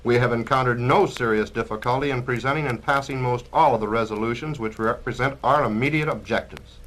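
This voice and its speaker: Man acting a pompous, bright-voiced communist braggart. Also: medium pitch, natural voice, poor audio. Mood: proud, confident. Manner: pompous, oratorical. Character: boastful, self-important male communist trade union activist. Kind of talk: dramatized spoken-word record acting.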